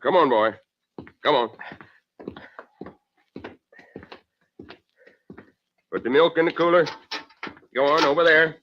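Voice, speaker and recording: A man's voice: a little high, brisk, lightly acted. An actor playing a surly, evasive American farmer and father in an old-time radio drama, muttering terse fragments and barking orders. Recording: muffled, noisy old radio recording.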